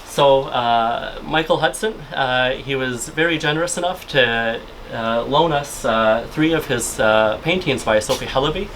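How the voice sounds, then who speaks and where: A man directing a bookstore, opening a book event with halting, filler-heavy welcome remarks. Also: medium pitch, fairly bright tone, a little hiss or hum, poor audio.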